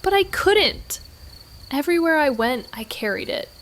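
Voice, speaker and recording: A woman playing a guilt-ridden young woman in an audio drama, speaking in an emotional, halting, confessional way. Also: sits high, expressive, a bit bright, a little hiss or hum. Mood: tearful, confessional. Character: Mags, guilt-ridden, anguished young woman, former best friend. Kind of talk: naturalistic audio drama acting.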